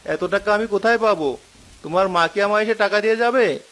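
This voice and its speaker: A man's voice: high-pitched, fairly quick, a bit bright. A man voicing a lazy, teasing village boatman, a husband and father in a cartoon story. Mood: grumbling, defensive. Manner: easygoing, teasing.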